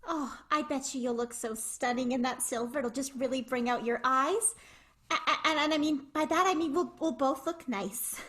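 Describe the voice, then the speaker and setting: A woman playing a shy, lovestruck vaudeville performer in an improvised radio play, halting and stammering. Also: high voice, a bit bright. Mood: flattering. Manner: hesitant, stammering.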